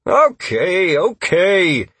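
A man voicing an excitable, reckless and cheerful male adventurer with eager energy in an audiobook. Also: extremely high pitch, slow pace, breathy edge, no room sound, pitched above the performer's own voice, heavily acted. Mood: defensive.

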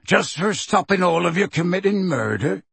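Man reading gruff giant gamekeeper, blunt, testy, stubborn; husky, dry mic. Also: high voice, animated, moderate pace, fairly bright tone, dry recording, voice pushed up, heavily acted. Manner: testy, defiant, outspoken.

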